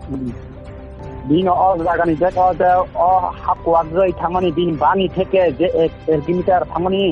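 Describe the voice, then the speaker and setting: A man talking on a phone call in a halting way, repeating words and phrases. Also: high-pitched, steady tone. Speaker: caller. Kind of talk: phone conversation. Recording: muffled phone call recording, faint music behind.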